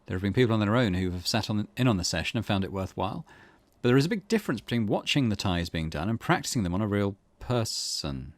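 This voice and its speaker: Man reading as a matter-of-fact seminar organiser, lively pitch. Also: fast, few pauses, dry recording. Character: courteous, businesslike rope-class seminar organiser.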